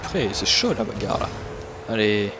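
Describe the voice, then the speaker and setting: A young man from Switzerland, commentating his own gameplay excitedly and laughing often. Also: on the low side, moderate pace, background noise. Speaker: gaming YouTuber.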